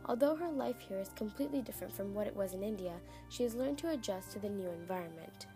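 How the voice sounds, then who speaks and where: A teenage girl reporter giving a measured voice-over narration for a news story. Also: medium pitch, animated, music in the background. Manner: measured voice-over reading statistics. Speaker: student reporter. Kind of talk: scripted narration.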